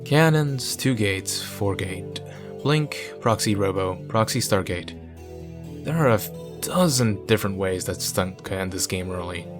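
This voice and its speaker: Low-voiced man reading a game story. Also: animated, unhurried, music in the background. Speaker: video creator reading a visual novel aloud. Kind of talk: narrating a visual novel with casual asides.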